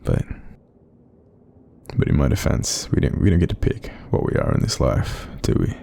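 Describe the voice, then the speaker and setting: An Australian man voicing a kindly, apologetic sleep paralysis demon in an intimate audio roleplay, soft-spoken, hesitant and breathy, gently reassuring the listener. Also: sing-song, normal speed, heavily acted. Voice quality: very deep, breathy, creaky.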